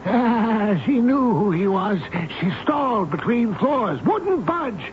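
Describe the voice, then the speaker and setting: An actor in a radio drama playing a devoted, sentimental elevator operator who talks in a folksy, halting, earnest way. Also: male voice, very high voice, sing-song, exaggerated voice. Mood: pleading. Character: devoted, pleading old-time elevator operator, gentle and sentimental. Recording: noisy muffled old radio recording.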